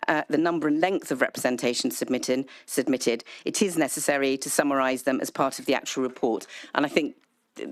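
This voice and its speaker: A woman councillor answering public questions at a council meeting, speaking in a measured way with frequent ums and restarts. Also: deep voice, no room sound. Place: council chamber, hybrid meeting. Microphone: desk microphone.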